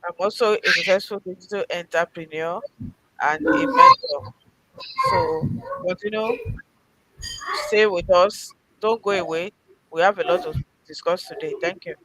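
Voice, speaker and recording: A woman's voice: fairly low pitch, expressive, measured pace, long pauses, bright, forceful tone, low-quality recording. A woman from Nigeria, introducing herself in a friendly, upbeat way as co-host of a live stream. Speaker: co-host, chef and entrepreneur.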